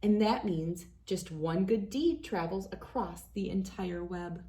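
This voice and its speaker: A woman's voice: on the low side, measured pace, warm tone. Woman reading a children's story aloud. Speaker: read-aloud host. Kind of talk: children's picture book read-aloud.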